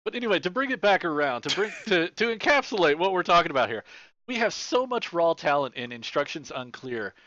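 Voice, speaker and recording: A man hosting a casual gaming podcast, animated and swearing freely with his co-hosts. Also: on the high side, fairly quick, no room sound, dull audio. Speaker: podcast host.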